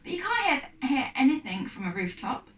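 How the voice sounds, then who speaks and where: A woman's voice: high voice, sing-song, moderate pace, fairly bright tone, pitched above the performer's own voice. A woman reading the part of an earnest, determined, anxious young girl in a storybook. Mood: urgent. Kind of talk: storybook character dialogue. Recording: echoey room, distant mic.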